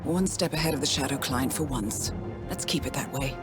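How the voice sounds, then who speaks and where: A woman's voice: a bass voice, normal speed, over loud music. Composed, shrewd female mission handler. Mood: cool, commanding. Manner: crisp, controlled, faintly wry. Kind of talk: video game cutscene acting.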